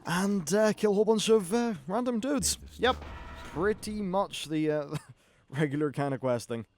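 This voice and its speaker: A man's voice: high voice, lively intonation, moderate pace, a little hiss or hum. A man giving casual, off-the-cuff video game commentary as host, chatty and wry, with some swearing.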